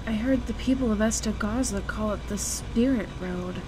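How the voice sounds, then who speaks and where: A woman playing an earnest, gentle young adventurer in a fantasy game fan dub. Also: mid-range voice, moderate pace, noisy background, close to an everyday voice. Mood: concerned.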